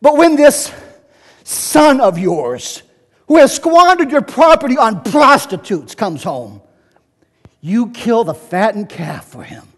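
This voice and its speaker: A man's voice: extremely high pitch, very animated, slow pace, fairly bright tone, pitched above the performer's own voice, heavily acted. A man playing a resentful, indignant elder son in a dramatic Bible reading, accusing and emphatic. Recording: echoey church hall, long reverb.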